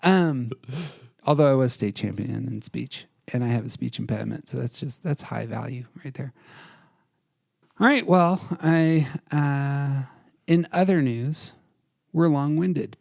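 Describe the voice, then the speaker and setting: A man's voice: medium pitch, a little slow, vocal fry, darkish tone, no echo, studio quality. A man co-hosting a podcast in casual debate, bantering in a self-deprecating way. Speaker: podcast co-host.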